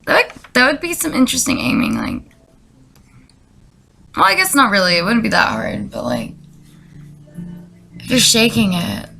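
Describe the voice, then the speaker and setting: A woman giving deadpan comedic commentary and dramatic readings, rambling through sarcastic, incredulous asides. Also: fairly high pitch, moderate pace, vocal fry, pauses often. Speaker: comedy video creator. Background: music.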